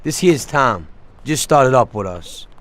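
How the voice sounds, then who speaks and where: An actor playing a chatty, cocky working-class 1930s American gangster in a video game. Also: male voice, mid-range voice, animated, a little slow, a bit bright. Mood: matter-of-fact, casual.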